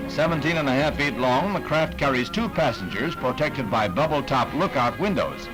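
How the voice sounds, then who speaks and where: A man's voice: medium pitch, lively intonation, normal speed, poor audio. An American man reading scripted 1960s newsreel narration in a punchy, wry announcer's delivery. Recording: 1960s newsreel soundtrack, music behind.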